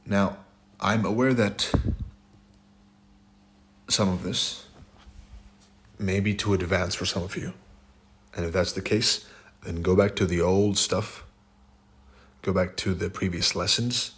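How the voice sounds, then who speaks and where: A man with a very deep voice, worn raspy and hoarse from a long lecture. He teaches trading bluntly, hammering his points and checking in with a quick "right?". His delivery is emphatic and insistent. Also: steady tone, a bit bright. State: hoarse, throat hurting. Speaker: trading instructor.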